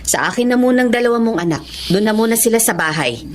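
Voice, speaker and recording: A woman's voice: a little high, animated, fast talker, a little hiss or hum, natural voice. A woman playing an older, devout and practical Filipino mother in a radio drama, with a Filipino accent. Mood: consoling.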